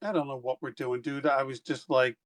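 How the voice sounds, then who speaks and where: A man's voice: medium pitch, steady tone, brisk, a bit bright. A man playing in a tabletop game, chatting casually and thinking aloud about tactics. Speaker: player.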